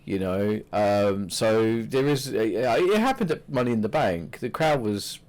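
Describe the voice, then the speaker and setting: A young man co-hosting a podcast, chatting about wrestling in a halting way with many restarts. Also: fairly low pitch, animated, darkish tone. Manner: hesitant, many restarts and fillers. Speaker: podcast co-host. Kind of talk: casual wrestling chat.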